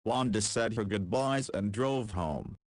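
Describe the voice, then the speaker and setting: A man giving measured scripted narration for a visual novel. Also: lowish voice, dry recording, studio quality.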